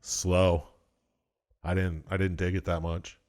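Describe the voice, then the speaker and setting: A man's voice: sits low, little pitch movement, slow pace, darkish tone. A man co-hosting a podcast in casual chat, laid-back and blunt, often giving short answers. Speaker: podcast co-host.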